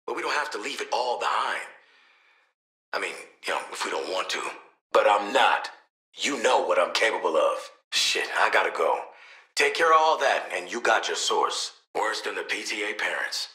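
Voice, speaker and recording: A man's voice: medium pitch, bright, forceful tone, roomy sound. An American man voicing a cocky, world-weary assassin in a video game, with casual, slangy, wry delivery. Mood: earnest, then subdued. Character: cocky, world-weary male assassin, gruff team player. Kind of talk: video game character voice lines.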